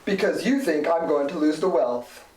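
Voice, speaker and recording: A man's voice: fairly high pitch, normal speed, darkish tone, breathy edge, poor audio. A man playing a crooked, smug attorney and gleefully wicked schemer in a comedy audio drama, melodramatic in delivery.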